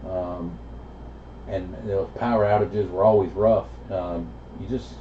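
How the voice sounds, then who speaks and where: Low laid back american guy on cb radio, middle aged, talks kinda halting, muffled echoey sound. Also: fairly even intonation, slight background noise. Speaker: amateur radio operator. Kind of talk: casual radio chat.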